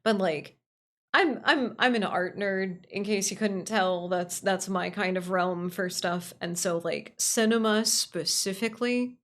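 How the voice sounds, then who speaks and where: A woman's voice: mid-range voice, unhurried, fairly bright tone. A woman chatting casually on a livestream, answering viewers in a gushing way and repeating phrases for emphasis. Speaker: livestream host.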